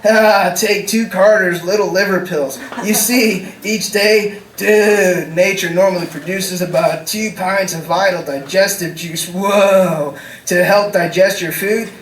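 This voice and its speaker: Man playing spaced-out dude, comic radio ad, goofy slacker drawl, high, far from mic. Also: a little hiss or hum. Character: spaced-out laid-back dude pitching pills in a spoof ad. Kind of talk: comic old-time radio commercial. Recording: echoey, distant stage recording.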